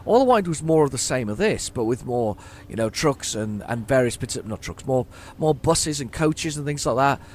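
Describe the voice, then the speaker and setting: A man with a hoarse, worn voice talks his way through a driving game, pitched in an ordinary middle register. He wanders off topic, stumbles over repeated words and mutters asides to himself. A faint hum of noise sits underneath. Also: lively intonation, moderate pace, hardly any pauses, a little mellow. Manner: rambling, stammering repeats, chatting to himself. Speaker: gaming YouTuber. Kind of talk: casual gameplay commentary.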